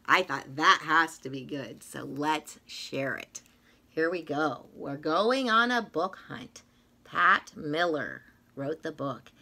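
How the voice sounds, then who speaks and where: A middle-aged woman reading a children's picture book aloud like a teacher, in an expressive, rhythmic sing-song manner with drawn-out phrases. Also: low voice. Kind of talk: read-aloud of a children's story. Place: small indoor classroom-style room.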